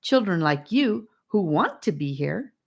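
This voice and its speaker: A woman's voice: on the low side, sing-song, unhurried, creaky, heavily acted. A woman voicing a bubbly, theatrical little girl who talks in a sing-song, dramatic way. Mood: theatrical, giddy.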